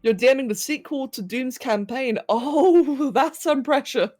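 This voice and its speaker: A woman's voice: high-pitched, unhurried, a little mellow, soft background music. A young woman hosting a casual livestream, reading chat, greeting viewers and laughing as she talks to her pets. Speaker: livestream host. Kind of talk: casual chat with live chat while sculpting.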